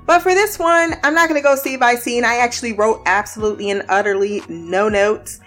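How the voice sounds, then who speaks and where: A woman's voice: a little high, brisk, fairly bright tone, faint music behind. Chatty, blunt woman host. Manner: chatty, blunt, swears freely, laughs often. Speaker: podcast host. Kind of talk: casual review podcast.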